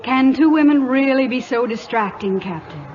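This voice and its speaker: Headstrong highborn lady, formal, self-possessed, pointed, vintage radio drama, muffled, long reverb. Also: expressive, normal speed, a little mellow, slight background noise. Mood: pointed, defiant. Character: poised, headstrong English noblewoman aboard ship. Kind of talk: old-time radio drama acting.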